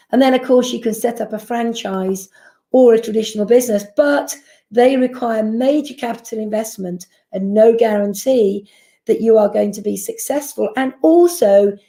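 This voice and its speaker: A woman's voice: a little high, dark tone, roomy sound. A woman giving a persuasive business presentation, asking rhetorical questions and answering them. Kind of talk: sales presentation.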